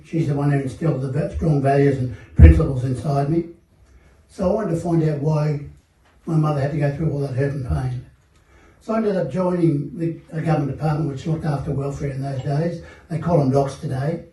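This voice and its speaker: Older Australian man, dark echoey voice. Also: a little high. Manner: measured, reflective, many fillers. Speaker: panel guest. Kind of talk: personal testimony at a seminar panel. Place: seminar hall. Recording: echoey room, mic at a distance.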